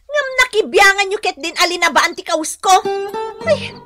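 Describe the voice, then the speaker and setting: A woman's voice: very high voice, expressive, moderate pace, music in the background. A woman with a Filipino accent playing a vain, scolding young working daughter in a radio drama. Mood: annoyed, scolding. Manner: sharp, scornful, boastful. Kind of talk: Ilocano radio drama acting.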